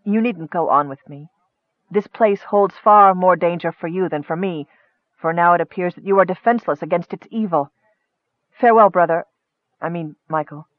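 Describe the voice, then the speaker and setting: A man's voice: sits high, moderate pace, dry recording, close to an everyday voice. A man voicing an anguished, headstrong young noblewoman warrior in a fantasy audiobook, with urgent, impassioned delivery. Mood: cold, bitter. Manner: impassioned, urgent, breaking into cold resolve. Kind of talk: audiobook character acting. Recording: muffled, dull lo-fi recording.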